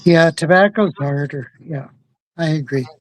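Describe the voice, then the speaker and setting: Slow, halting man with a higher voice. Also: dry recording, poor audio. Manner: halting, repeats words. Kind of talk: casual chat.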